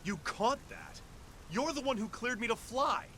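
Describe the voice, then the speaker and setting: A man's voice: very high voice, very animated, a little slow, bright, forceful tone, slight background noise, exaggerated voice. A man playing a rugged, exasperated test pilot in a video game, indignant and emphatic. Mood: irritated, indignant. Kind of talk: video game cutscene acting.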